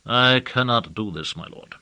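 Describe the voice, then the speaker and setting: A man voicing a haggard, learned conjurer in an audiobook, lecturing in a measured, didactic manner. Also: medium pitch, expressive, bright, forceful tone, clean tone, dry recording, full character voice. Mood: grave, explaining. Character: haggard, learned male demon-conjurer lecturing his lord.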